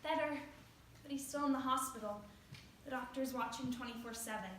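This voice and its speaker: A woman's voice: sits high, fairly quick, fairly bright tone. An actress playing a perky, sassy young office matchmaker who teases her friends in a stage play. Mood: subdued. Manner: perky, teasing. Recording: very echoey room, faint noise.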